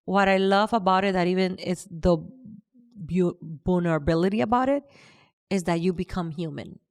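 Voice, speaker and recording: A woman's voice: lowish voice, moderate pace, darkish tone. A woman hosting a podcast, speaking earnestly about faith and sharing stories, with frequent restarts. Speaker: podcast host. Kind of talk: casual podcast chat.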